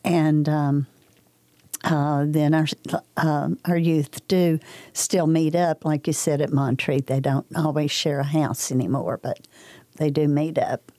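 A soft-spoken older woman with a Kentucky accent reminisces slowly and hesitantly. Her voice is low-pitched and mellow, recorded close and dry. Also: fairly even intonation, no room sound. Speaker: interview guest. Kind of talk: casual reminiscing interview.

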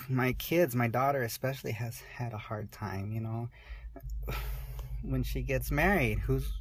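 A woman in her forties speaking candidly in an interview, halting and soft-spoken, often restarting her sentences. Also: rumbling low, breathy edge, rough recording. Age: 40s.

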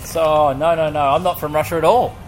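A man's voice: a little high, fairly even intonation, a little fast. Guy co-hosting a chatty podcast, loose and jokey, swears now and then, music going underneath. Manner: loose, joking, swears casually. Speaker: podcast co-host. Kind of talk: casual chat, reading out a guest's written answers.

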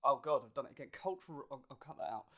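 Rambling Essex-accented podcast host. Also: male voice, mid-range voice, lively intonation, racing, bright, forceful tone. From Essex, England. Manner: rambling, self-deprecating, stumbles over words. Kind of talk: casual podcast chat.

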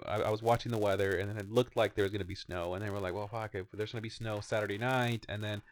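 A man in his thirties co-hosting a casual podcast, rambling in an easygoing way and swearing now and then. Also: lowish voice. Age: 30s. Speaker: podcast co-host. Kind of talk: casual chat.

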